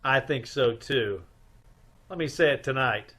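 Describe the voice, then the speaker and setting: A man's voice: mid-range voice, unhurried, sharp tone. A man playing a suave, affectionate detective husband in an old-time radio play, soothing and sweet-talking. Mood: tender. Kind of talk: old-time radio comedy-mystery acting.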